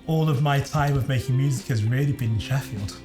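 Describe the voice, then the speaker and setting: A man in his forties with a mid-pitched voice talks in a relaxed, easygoing way about making music. Clear music plays underneath. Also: brisk. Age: 40s. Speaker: band singer. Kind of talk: documentary interview. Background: music bed.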